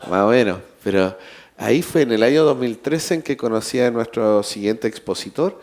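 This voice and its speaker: A man hosting a conference, introducing the next speaker in a halting, friendly way. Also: mid-range voice, animated, darkish tone. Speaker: conference host. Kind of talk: live conference emcee.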